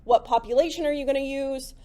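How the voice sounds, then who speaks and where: High-voiced woman lecturing, slightly hoarse. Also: quick pace, dark tone. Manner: conversational, many "like" and "right?" fillers. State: slightly hoarse, losing her voice. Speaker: psychology lecturer. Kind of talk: classroom lecture. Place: lecture room.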